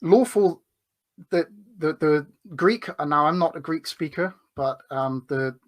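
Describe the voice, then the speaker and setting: A man's voice: on the high side, a little slow. A man arguing in a debate, hesitant, with many filler words and restarts. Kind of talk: debate argument.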